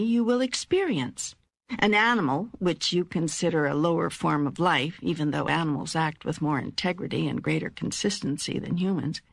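Older woman playing God, low husky voice, measured and authoritative, audiobook dialogue. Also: no room sound. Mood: calm, instructive. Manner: measured, emphatic, deliberate phrasing. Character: God, a calm, wise, authoritative divine teacher.

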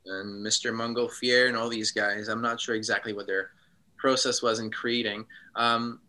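A man from Switzerland, a guest in a casual interview, speaking reflectively with frequent pauses and ums. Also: fairly low pitch, level tone, bright, forceful tone.

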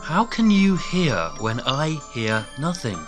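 A man's voice: on the high side, very animated, slow pace, over music. An actor playing an anxious, eager-to-please husband in an audio drama, hesitant, earnest and devoted to his troubled wife. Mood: startled.

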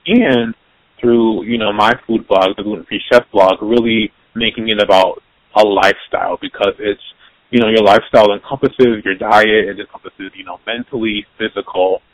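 A man's voice: lowish voice, steady tone, moderate pace, no echo, low-quality recording. A man hosting a podcast interview, rambling casually with lots of fillers and restarts. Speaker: podcast host.

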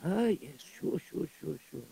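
A gossipy, pious synagogue caretaker, played by a man, who sighs and fusses. His voice is raised yet dark, with a gravelly, husky grain. He keeps sighing over his neighbors' business in a radio-play manner. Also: sits high, lively intonation, a little slow, slight background noise, exaggerated voice, poor audio. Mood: reassuring.

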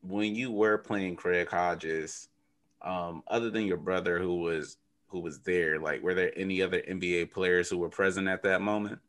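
A man's voice: deep voice, steady tone, normal speed, fairly bright tone. A man co-hosting a podcast, asking questions in a casual conversational style. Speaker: podcast host.

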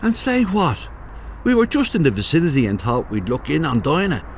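A man's voice: on the high side, huge pitch swings, brisk, slight background noise. An actor playing a bossy, scoffing, know-all Irish man in a comedy audio drama. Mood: impatient. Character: bossy, dismissive Irish man sneaking about, secretly jittery.

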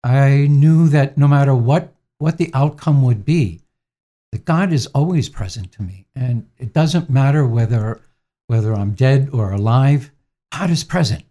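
Soft-spoken priest in his sixties. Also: medium pitch. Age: 60s. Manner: gentle, unhurried, frequent fillers. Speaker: priest, interviewee. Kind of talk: reflective interview answers.

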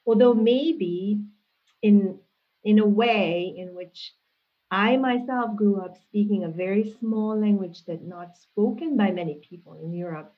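A woman, a guest answering interview questions in a thoughtful, measured way with frequent restarts, heard over a video call. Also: mid-range voice, husky. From Hungary. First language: Hungarian. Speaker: guest, linguistics professor. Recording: tinny phone-like call audio.

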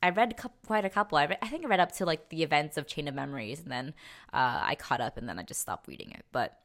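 A woman's voice: deep voice, lively intonation, quick pace, a bit bright. A young woman co-hosting a podcast, chatting casually about pop culture and rambling as she thinks aloud. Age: young adult. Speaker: podcast co-host.

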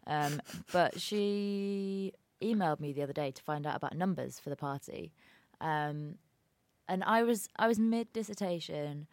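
A young woman chats on a podcast in a slightly low voice. She tells stories in a lively, self-dramatizing way. The sound is dry and close. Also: no room sound. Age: young adult. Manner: chatty, melodramatic, quick storytelling. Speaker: podcast co-host. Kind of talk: casual chat.

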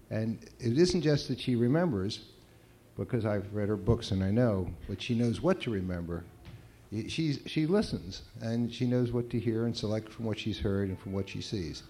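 A man giving a live speech of introduction for an author. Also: on the low side. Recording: steady background noise, live event recording.

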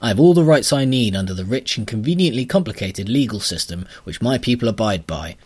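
A man voicing a sulky, pedantic burrowing creature who talks in a flat, matter-of-fact way. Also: on the low side, a little fast, slightly rough.

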